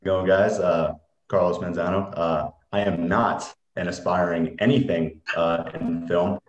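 A man's voice: low-pitched, steady tone, a little slow, dull audio. A man in his thirties speaking over a laptop video call from a bare room, as narrator of a script. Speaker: reader of stage directions. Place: small bare room indoors. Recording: roomy, echoey video call.

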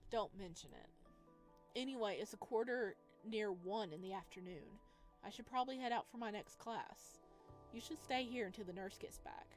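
A woman voicing a reserved, considerate young man in a visual novel, in a measured, matter-of-fact way. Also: medium pitch, faint music behind, close to an everyday voice. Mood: calm concern.

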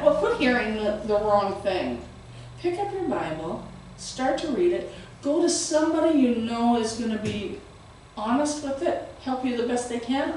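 A middle-aged woman preaching a sermon in a small church room, with a big, carrying voice and lively gestures, recorded without a microphone. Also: a little high, a little hiss or hum. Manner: loud voice that carries, animated and gesturing. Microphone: far camera, no microphone. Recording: very echoey room, voice heard from a distance.

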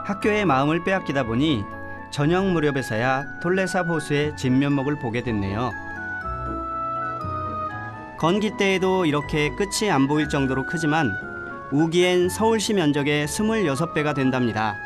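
A man narrating a travel documentary in a gentle, reflective storytelling voice. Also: on the high side, animated, moderate pace. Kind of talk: scripted voice-over narration. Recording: voice-over with music behind. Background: background music.

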